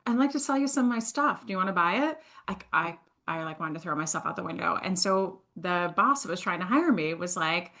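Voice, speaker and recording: A woman's voice: on the low side, brisk, few pauses. A woman in her thirties from Switzerland, a guest on a podcast, telling her career story casually with self-deprecating humor. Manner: animated, self-deprecating storytelling with frequent laughs. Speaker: guest, sales entrepreneur.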